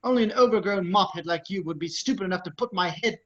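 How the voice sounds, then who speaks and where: A man's voice: sits high, fast, a bit bright. A man playing a fussy, panicky protocol droid in an amateur script read, fretful and prissy. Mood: indignant. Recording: dull lo-fi microphone.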